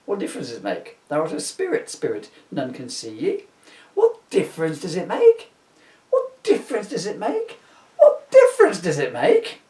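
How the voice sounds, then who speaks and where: A man's voice: on the high side, huge pitch swings, moderate pace, dark tone, pitched above the performer's own voice. A man playing a querulous, repentant miser in his thirties for a comic audiobook, theatrical and mock-archaic in phrasing. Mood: questioning, insistent. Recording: roomy, echoey, distant microphone.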